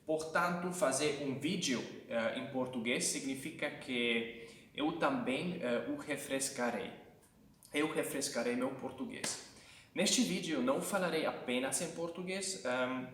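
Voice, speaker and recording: A man's voice: medium pitch, fairly bright tone, a little hiss or hum. A Hungarian man with a Hungarian accent and Hungarian as his first language gives casual language-learning tips, hesitating often, with many fillers and restarts. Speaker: language-learning video host. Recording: echoey room, mic at a distance.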